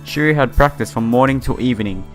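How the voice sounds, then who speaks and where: A man reading a visual novel aloud and commenting casually as a let's player, halting and informal. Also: mid-range voice. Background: game music.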